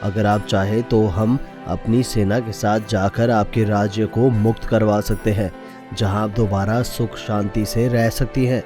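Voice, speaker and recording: A man's voice: fairly low pitch, level tone, measured pace, over music, close to an everyday voice. Man playing a regal, formal storybook king. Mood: reassuring. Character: kindly, dignified king of a fairy-tale kingdom.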